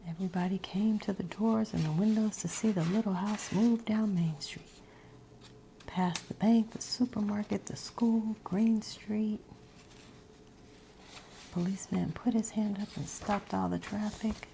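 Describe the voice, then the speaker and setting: A woman's voice: medium pitch, steady tone, measured pace, vocal fry, slight background noise, low-quality recording. Whispering woman reading a storybook. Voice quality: soft whisper. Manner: whispering, with asides and ad-libbed lines. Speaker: reader of a children's picture book.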